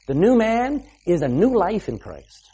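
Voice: mid-range voice, big pitch swings, unhurried, mellow, breathy edge. A preacher giving an emphatic sermon, asking the listeners rhetorical questions and punctuating points with "amen".

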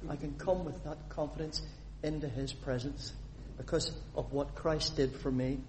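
A man from Northern Ireland preaches in a fairly high voice, lively and animated as he asks the congregation questions and reads scripture aloud. His voice rings with the long reverb of a church hall. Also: normal speed, slight background noise. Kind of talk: sermon.

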